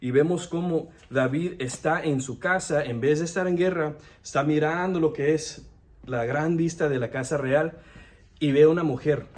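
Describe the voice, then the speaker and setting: A man's voice: a little high, darkish tone. A young man giving an earnest devotional sermon, often stopping to restart his words. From United States. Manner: earnest, halting, frequent restarts. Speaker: devotional speaker.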